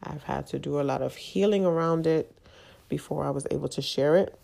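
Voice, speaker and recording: A woman hosting her own podcast, congested and a little nasal from a cold, talking candidly in a halting, confessional way. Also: low-pitched, vocal fry, mellow. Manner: halting, confessional, with frequent fillers. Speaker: podcast host. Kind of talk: candid personal monologue.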